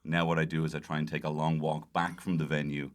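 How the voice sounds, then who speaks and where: A man with an exceptionally low, bassy voice chats casually in a comic interview. He rambles through his stories and pokes fun at himself as he goes. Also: flat delivery, fast talker. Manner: rambling, jokey, self-deprecating. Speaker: podcast guest, comedian.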